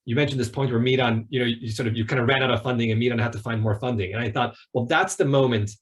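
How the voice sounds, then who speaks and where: A man answering thoughtfully in an online panel discussion, reflective and measured, qualifying his points. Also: mid-range voice, steady tone.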